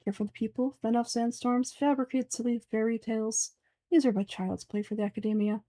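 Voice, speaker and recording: A woman's voice: a little high, steady tone, fairly quick, mellow, no echo, natural voice. Woman as a pompous grand sage villain, dry sound. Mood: disdainful. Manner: pompous, formal, declamatory. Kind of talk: game dialogue dub.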